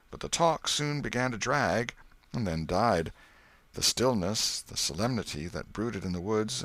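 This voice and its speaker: A man with a fairly low voice narrates a literary bedtime story. His delivery is measured and scripted, in the manner of an audiobook reader. The recording is dry, with very little room sound. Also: fairly bright tone, no echo.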